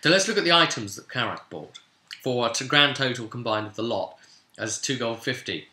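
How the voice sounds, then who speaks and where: A man's voice: mid-range voice, expressive, moderate pace, pushed and bright. A man, a game master, gives a casual, rambling recap of a tabletop role-playing session. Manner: rambling, chuckling storyteller who acts out dialogue. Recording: echoey room sound.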